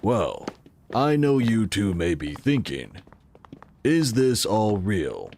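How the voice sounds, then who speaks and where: A man playing an affable, enigmatic tech company founder in an audio drama, measured and welcoming. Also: fairly low pitch, big pitch swings, creaky, darkish tone, slight background noise, heavily acted. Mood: teasing, assured. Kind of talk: full-cast audio drama acting.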